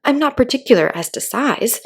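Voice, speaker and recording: A polite storybook little girl, voiced by a woman, earnest and hesitant, quick to feel slighted. Her voice is pitched a bit up from plain narration, with a husky, creaky edge. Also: lively intonation. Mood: pleading. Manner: proper and hesitant. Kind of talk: children's storybook read-aloud.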